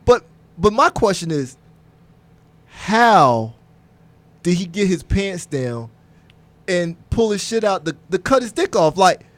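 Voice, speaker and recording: A man co-hosting a casual podcast, telling stories in an excitable, rambling way with crude humor. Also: high-pitched, slow pace, pauses often, no echo. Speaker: podcast co-host.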